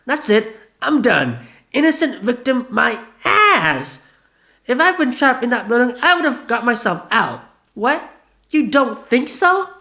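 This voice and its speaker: Man reading as a drunk young hothead, high and loud, bellowing threats, very animated delivery. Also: measured pace, full character voice. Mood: furious. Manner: loud, yelling, high strained voice. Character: drunken hot-tempered young man with a shotgun.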